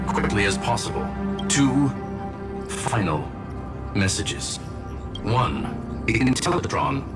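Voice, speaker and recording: An actor voicing a composed, erudite man in a video game, speaking in formal, precise phrases. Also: mid-range voice. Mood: urgent, grave. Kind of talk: scripted game dialogue. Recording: prominent music under the voice. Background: loud background music.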